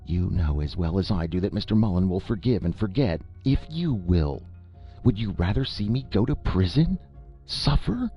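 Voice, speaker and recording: A man voicing a fretful, pleading old aunt in a high wavering voice in a storybook reading. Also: expressive, normal speed, slightly rough, full character voice. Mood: reproachful. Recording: lo-fi with faint music.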